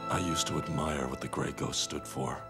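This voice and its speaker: An actor voicing a brooding grown man who is a masked vigilante, speaking gravely and earnestly in a cartoon clip. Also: a bass voice, steady tone, normal speed. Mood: disappointed. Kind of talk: animated series voice acting. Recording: clip with loud music under it.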